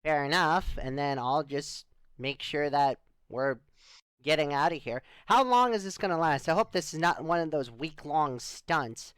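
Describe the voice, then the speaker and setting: A man playing a skeptical, dry-humored spaceship crewmate in improvised, conversational sci-fi roleplay, full of deadpan questions. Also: on the high side, a little slow, clean tone, dry recording. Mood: dry amusement.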